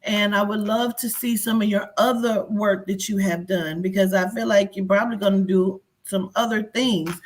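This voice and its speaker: A woman's voice: medium pitch, fairly even intonation, normal speed, few pauses. A woman guest in a casual interview, chatty and earnest.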